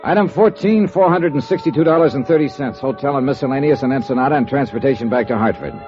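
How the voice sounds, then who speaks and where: An actor playing a wry, tough American insurance investigator with an American accent, dry and sardonic in a 1950s radio drama. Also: male voice, medium pitch, fast, lightly acted. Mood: brisk, matter-of-fact. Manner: dry, crisp, sardonic delivery. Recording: dull 1950s radio recording with music.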